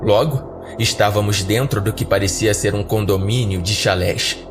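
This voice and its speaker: A man's voice: fairly low pitch, fairly even intonation, normal speed. A man with a Brazilian accent narrating a scripted first-person horror story as a podcast narrator. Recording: background music under narration.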